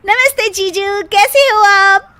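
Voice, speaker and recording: A woman's voice: very high-pitched, slow, bright, forceful tone, voice pushed up, exaggerated voice. A woman voicing a bubbly, mischievous young sister in an audio story, giggly and exclaiming. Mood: playful, giggly.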